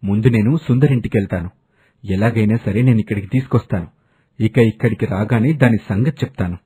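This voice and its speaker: A man voicing a stern, suspicious elder brother and village husband in an animated moral story, with a rough voice. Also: on the low side, brisk.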